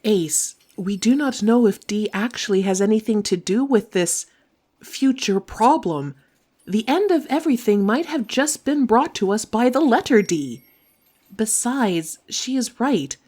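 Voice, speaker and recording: A woman's voice: fairly high pitch, darkish tone. A woman playing a formal, caring sister who speaks without contractions in an audio drama.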